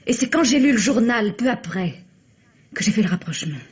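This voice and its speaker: An actress playing a suspect's pleading, earnest sister with a husky voice and confessional manner in an old French radio mystery. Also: female voice, a little high, expressive, a little fast. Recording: dull old radio recording.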